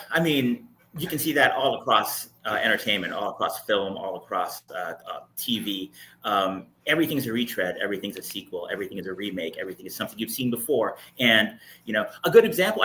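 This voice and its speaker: Bright-voiced man giving opinions. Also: on the low side, expressive, fast talker, a little hiss or hum, low-quality recording. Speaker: panel guest commentator. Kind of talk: casual opinionated discussion.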